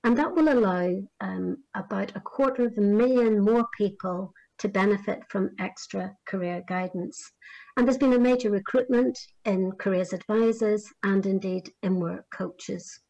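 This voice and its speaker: A middle-aged woman from Northern Ireland giving a conference address on career guidance, measured and full of small fillers and restarts. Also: medium pitch, vocal fry, mellow, highs cut off.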